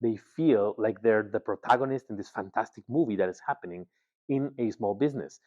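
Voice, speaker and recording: A man from Ecuador giving a conversational presentation as a guest speaker, often pausing with filler words and repeating himself. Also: on the low side, a little mellow. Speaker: guest speaker, agency founder. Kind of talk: presentation talk. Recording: slightly dull, lo-fi sound.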